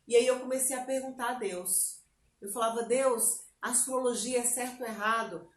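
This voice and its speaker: A lively Brazilian woman with a Bahian lilt tells her personal testimony, slipping into her own past voice as she recounts it. Her voice sits fairly high, and the room around her rings with a noticeable echo over a faint background hiss. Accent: Bahian Brazilian Portuguese. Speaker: interview guest. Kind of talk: personal testimony, casual chat.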